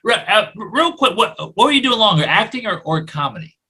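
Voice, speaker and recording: A man hosting a casual interview, giving short reactions and asking quick questions. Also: high voice, big pitch swings, bright, forceful tone. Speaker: podcast host.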